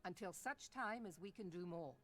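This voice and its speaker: A woman's voice: fairly low pitch, lively intonation, normal speed, a bit bright. An actress playing a resolute, proud woman admiral in a video game, with a formal, stately delivery. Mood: stately, regretful.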